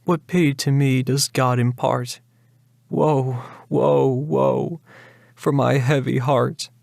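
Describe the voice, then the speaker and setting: A man's voice: mid-range voice, animated, takes it slowly, creaky, darkish tone, no echo, studio quality. Man voicing a grief-stricken, despairing young woman. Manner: anguished, declamatory, lamenting. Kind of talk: dramatic reading of a gothic ballad.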